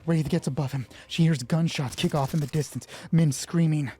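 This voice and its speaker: A man's voice: on the high side, fairly even intonation, moderate pace, warm tone, slightly rough. A man narrating an improvised solo tabletop game, thinking aloud casually and swearing freely. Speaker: solo roleplay player and narrator.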